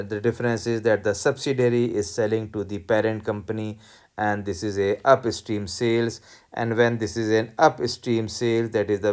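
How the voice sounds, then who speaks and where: Lecturer, mid-pitched voice, teaching worked examples in a deliberate, step-by-step didactic manner. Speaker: accounting lecturer.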